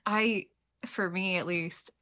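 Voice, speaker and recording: Female podcast host, bright tone, casual and gushing, prone to acted asides. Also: medium pitch, steady tone, slow. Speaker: host of a fiction podcast discussion. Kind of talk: casual panel chat.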